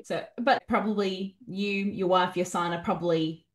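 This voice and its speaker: A woman's voice: mid-range voice, steady tone, moderate pace. A woman hosting an interview show, chatty and encouraging toward her guest. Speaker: podcast host, interviewer.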